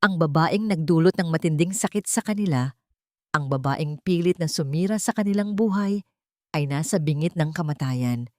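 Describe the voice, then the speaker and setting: A woman's voice: deep voice, expressive, normal speed, no room sound, studio quality. A woman narrator reading a scripted story in a dramatic style.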